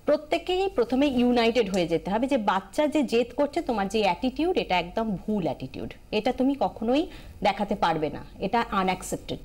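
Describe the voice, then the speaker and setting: A woman's voice: on the high side, very animated, a little fast, darkish tone. A woman with an Indian Bengali accent giving explanatory advice as a TV expert guest, emphatic, repeating key phrases and dropping in English terms.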